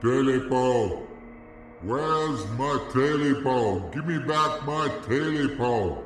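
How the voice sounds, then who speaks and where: Guy doing a spooky clawed critter, slow drawn-out moan, far off in a big echoey space. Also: medium pitch, faint music behind, lightly acted. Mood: eerie. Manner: slow, drawn-out, moaning chant. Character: short stubby clawed creature with glowing eyes, relentless. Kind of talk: spooky storytelling. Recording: distant, with long echoing reverb.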